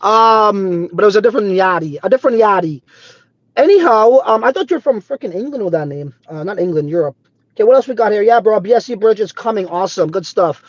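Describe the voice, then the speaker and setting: A man from Trinidad, hosting a casual crypto livestream on his phone, excitable and swearing freely, peppered with "bro" and "dude". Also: high-pitched, fast talker, no room sound. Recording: slightly dull phone audio.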